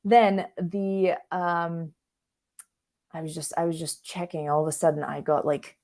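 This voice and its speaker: Woman from russia, native russian speaker, lowish voice, chatty career coach running an online workshop, keeps checking in. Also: level tone, unhurried, a little mellow. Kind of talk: conversational online workshop presentation.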